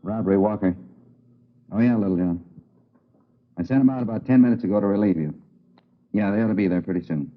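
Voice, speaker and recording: An actor playing a brisk, matter-of-fact American police detective in an old radio crime drama. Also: male voice, fairly low pitch, natural voice. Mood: brisk, businesslike. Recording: muffled vintage radio recording.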